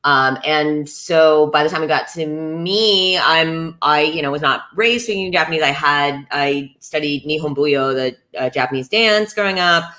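A woman's voice: deep voice, measured pace, few pauses, bright, forceful tone. A woman in her thirties from the United States, a voice actor on a video call from home, reflecting at length with "you know" and "um.". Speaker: voice actor panelist. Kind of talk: thoughtful casual chat. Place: home room with a gaming chair. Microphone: webcam on a video call.

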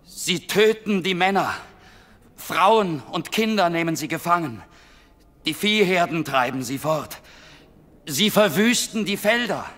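A man's voice: high-pitched, lively intonation, unhurried, a bit bright, big-room sound. A man playing an alarmed, insistent royal messenger in a radio play, speaking urgently. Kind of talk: dramatic radio play acting.

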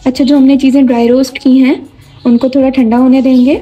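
A woman talks through a home recipe in a calm, explanatory way, voicing over her cooking. Her voice sits a little high and mellow, with soft music playing faintly behind her. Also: fast, husky. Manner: calm, instructive, explains each step. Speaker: cooking channel host. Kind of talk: step-by-step recipe narration.

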